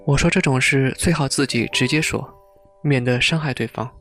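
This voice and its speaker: A man acting a polite, earnest gentleman confiding awkwardly in an audio drama, in measured dialogue. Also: mid-range voice, music in the background, lightly acted.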